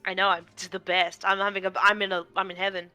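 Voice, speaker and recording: A woman's voice: medium pitch, level tone, brisk, sharp tone. A woman chatting casually on a game stream, reacting in short exclamations, with an exclamatory manner. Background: game music.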